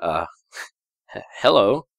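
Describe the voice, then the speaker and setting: A man's voice: lowish voice, sing-song, slow pace, creaky, fairly bright tone, no echo, exaggerated voice. A man playing a meek, embarrassed office worker in a story, hesitant and apologetic. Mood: dumbstruck. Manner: hesitant, awkward.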